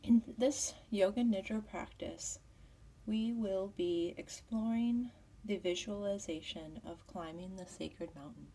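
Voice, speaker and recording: A woman teaching yoga nidra as a guided meditation, with a soft, breathy voice and soothing, measured instruction. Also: mid-range voice, distant mic, rough recording.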